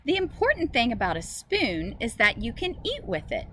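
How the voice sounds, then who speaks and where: Expressive woman reading aloud to young children. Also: on the high side, moderate pace. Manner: expressive, simple phrasing addressed to children. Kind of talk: picture-book read-aloud for young children. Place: outdoors in a school garden. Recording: noisy outdoor recording with ambient sound.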